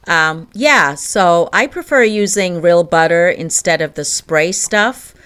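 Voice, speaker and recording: A woman's voice: fairly low pitch, a bit bright. Chatty woman baking in her kitchen. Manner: chatty, laughs often. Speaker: vlogger. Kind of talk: casual baking tutorial vlog. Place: home kitchen.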